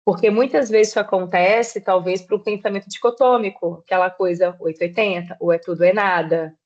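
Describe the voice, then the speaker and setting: A woman in her thirties from southeastern Brazil, a podcast guest explaining her work in a measured way. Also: medium pitch, fairly even intonation, a little mellow, highs cut off. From Espírito Santo, Brazil. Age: 30s. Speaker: guest, personal trainer. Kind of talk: podcast interview.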